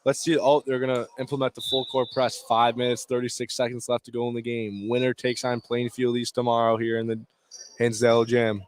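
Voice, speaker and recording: A man does live play-by-play from inside a gym, with slight background noise and bouncing balls. His voice sits at an average pitch. He calls the action in short, clipped bursts, as a radio basketball announcer does. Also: level tone, fairly quick. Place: indoor high school gym, courtside.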